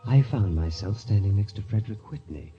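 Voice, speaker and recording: A man's voice: on the low side, animated, fairly quick, over music, close to an everyday voice. An actor playing an uneasy, polite man recounting his entry into a sinister world, in grave 1940s radio style. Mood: grave, haunted. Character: uneasy, hesitant man drawn into a death club, narrating. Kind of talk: 1940s radio acting, first-person mystery narration. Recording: dull, lo-fi vintage radio recording.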